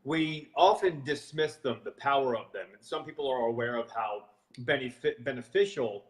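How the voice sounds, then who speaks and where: A man's voice: normal speed, poor audio. A man hosting a live online health chat over a video call, rambling and restarting his sentences. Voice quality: booming voice. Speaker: host.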